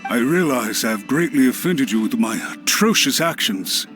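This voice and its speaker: An actor playing an anxious, groveling official in a video game, deferential, apologetic and pleading. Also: male voice, mid-range voice, expressive, normal speed, a bit bright, close to an everyday voice. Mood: desperate pleading. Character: groveling, anxious male official begging for mercy. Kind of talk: English video game voice acting. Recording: music under the voice.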